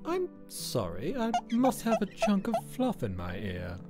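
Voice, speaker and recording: An actor voicing an oily, possessive villain pony in an animated fan dub, theatrical, wheedling and patronising. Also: mid-range voice, unhurried, faint music behind. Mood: mock apologetic.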